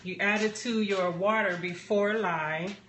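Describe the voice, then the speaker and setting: Woman, slow pace, casual craft demo chat, thinking aloud, faint background noise, live stream. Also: mid-range voice, steady tone. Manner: unhurried, thinking aloud while working. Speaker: soap maker hosting a live stream.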